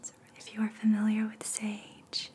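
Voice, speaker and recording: A woman doing ASMR as a reiki guide, speaking in a soft, breathy whisper with a gentle, soothing manner. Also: medium pitch, completely flat delivery, normal speed, a little hiss or hum.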